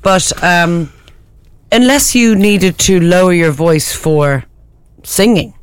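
A woman co-hosting a breakfast radio show, giving frank, quick reactions. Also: fairly low pitch, smooth, dry recording. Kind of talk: casual morning radio chat. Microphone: studio microphone.

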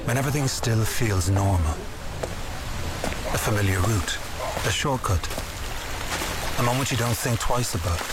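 A man reading a water safety message in a grave, measured tone. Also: on the low side, heavy background noise. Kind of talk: public safety voice-over.

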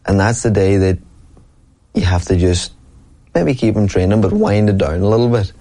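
Earnest low-voiced boxer. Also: male voice, moderate pace, mellow. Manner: earnest, rambling, frequent "you know what I mean". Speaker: professional boxer, interview guest. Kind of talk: casual interview talk.

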